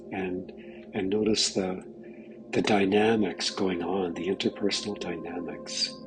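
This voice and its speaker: Man, low voice, very slow pacing, gentle guided meditation delivery, frequent mid-phrase pauses. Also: poor audio. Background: background music.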